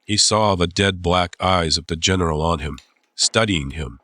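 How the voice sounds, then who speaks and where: A man narrating a dramatized audiobook as a storyteller, measured and suspenseful. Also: sits low, sharp tone, no room sound.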